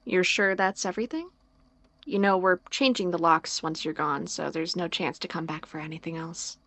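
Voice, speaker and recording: A woman's voice: fairly low pitch, moderate pace, a bit bright. A woman playing a teasing, stubborn, protective small-town young woman in audio drama dialogue, with a dry manner.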